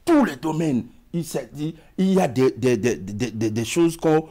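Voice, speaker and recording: Middle-aged guy w/ african french accent, mellow tone, pitch jumps around a lot, keeps stumbling and repeating words. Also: animated. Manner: halting, repeating words as he searches for them. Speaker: guest. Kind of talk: talk show conversation.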